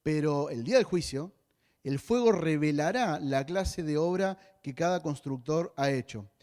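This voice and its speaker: A man's voice: on the high side, expressive, measured pace, a little mellow. A man with a Rioplatense Spanish accent preaching a sermon as a pastor, in a conversational way with joking asides.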